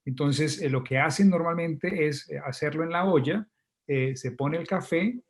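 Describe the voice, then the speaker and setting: A man's voice: on the high side, moderate pace. A man with a Colombian accent talking informally as a guest, courteous and explaining things step by step. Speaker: coffee company representative, guest.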